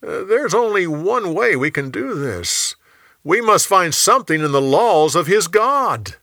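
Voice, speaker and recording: Man putting on a flattering, conniving royal official for a Bible tale; high, bright voice, oily drawn-out delivery. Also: no echo, studio quality, full character voice. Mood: sly. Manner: fawning, drawn-out, feigned reluctance with stammers. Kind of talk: dramatized Bible storytelling.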